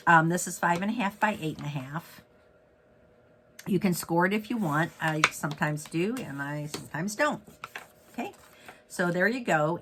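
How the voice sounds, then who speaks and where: Male craft host, raspy and congested from a cold, upbeat chatty delivery. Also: fairly high pitch, bright, forceful tone, a little hiss or hum. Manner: chatty and upbeat, with many fillers and self-corrections. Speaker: stamping demonstrator and host. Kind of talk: casual card-making tutorial.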